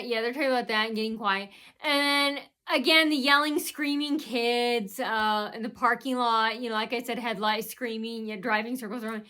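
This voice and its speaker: Bright-voiced woman rambling with fillers. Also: fairly high pitch. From United States. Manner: meandering, keeps restarting with "so anyway"; heavy fillers, um and uh. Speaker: vlogger. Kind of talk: rambling casual monologue.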